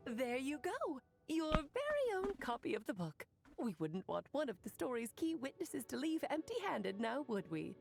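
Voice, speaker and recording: A merry, sentimental game witch with a high voice edged with creak. Her delivery is theatrical and expressive. Soft music sits underneath. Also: normal speed, heavily acted. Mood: playful. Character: playful, cheerful witch woman, sentimental under the merriment. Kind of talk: video game voice acting.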